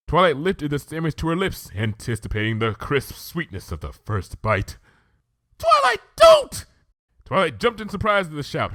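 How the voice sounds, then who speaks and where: A man's voice: fairly low pitch, fairly bright tone, no echo, studio quality. A man reading a scripted story aloud as its narrator.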